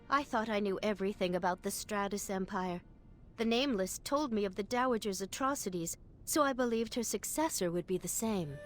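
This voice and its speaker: An actress playing a dignified, thoughtful empress in a video game, speaking in a formal, stately and deliberate way. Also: female voice, a little high, natural voice. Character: dignified, regal female empress, thoughtful and reserved. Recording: music under the voice.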